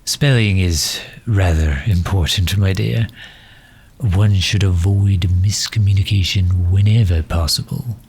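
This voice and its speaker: Supervillain played by a man for ASMR roleplay drama: very low, unhurried, pompous and pedantic, condescending yet affable. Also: a little mellow, slightly rough, heavily acted. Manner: condescending, wordy, hesitant pauses. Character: pompous, pedantic, affable gentleman supervillain, fond of his hero.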